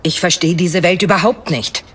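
A woman's voice: on the low side, fairly even intonation, moderate pace, slightly rough. A woman playing a terse, determined elven ranger captain in a dramatized fantasy audiobook. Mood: wary, focused.

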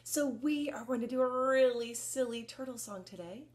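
A woman in her fifties presenting story time for young children, reading and explaining in an expressive, encouraging manner. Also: on the high side, normal speed. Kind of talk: children's read-aloud and instruction. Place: small furnished room at home, indoors.

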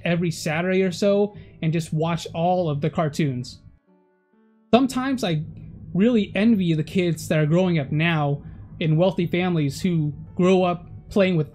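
A man's voice: high-pitched, a little mellow. A man in his thirties, Vietnamese his first language, telling stories about his childhood in an easygoing, chatty vlog style with small self-corrections. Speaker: vlogger. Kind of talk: personal vlog storytelling. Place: indoor room at home. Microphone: camera facing him, head and shoulders.

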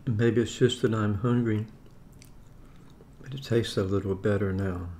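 A man's voice: on the low side, steady tone, warm tone, slight background noise. A man in his seventies chatting wryly while eating lunch at his dining table, recorded by a camera on the table. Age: 70s. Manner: chatty, wry, unhurried. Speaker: vlogger. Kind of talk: casual talk while eating. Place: dining room at home.

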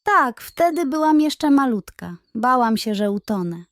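A woman's voice: sits high, very animated, measured pace, no echo, studio quality, pitched above the performer's own voice. A woman voicing a small, young female seal in a children's bedtime story, dreamy, timid and easily discouraged. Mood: reflective.

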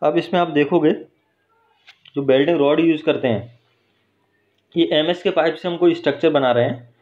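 A man's voice: on the high side, moderate pace. Workshop instructor, man, patient step-by-step explainer, dull phone audio. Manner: explains step by step, checks in with "ठीक है" and "है ना". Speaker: teacher. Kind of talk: hands-on technical explanation. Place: welding workshop. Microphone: phone held while filming.

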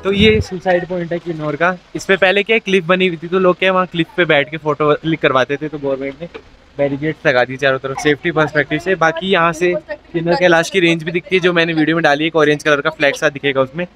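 A man's voice: fairly high pitch, quick pace, faint music behind. A young man in his twenties chatting casually as a travel vlogger, filming himself at arm's length outdoors. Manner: chatty, relaxed, says "yaar" often. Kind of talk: casual travel vlog to camera. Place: outdoors on mountain roads and hotel grounds. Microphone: phone or camera held at arm's length.

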